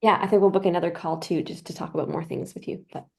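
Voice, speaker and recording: A woman with a low, gravelly voice asks a follow-up question over a video call. She talks casually and corrects herself as she goes. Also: fairly even intonation, quick pace, a little mellow. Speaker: applicant asking a follow-up.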